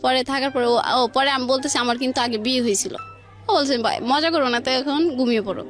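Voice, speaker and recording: A woman's voice: high-pitched, a little fast. A woman with a Bangladeshi accent telling a personal story informally and colloquially, stringing events together with quoted dialogue. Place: radio studio. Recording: faint music behind. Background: faint music.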